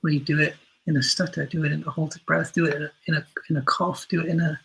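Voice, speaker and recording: A man speaking casually as an interview guest, explaining things with animated, stumbling self-corrections. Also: a little high, fast, vocal fry, slight background noise, rough recording. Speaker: interview guest, audio drama writer and director.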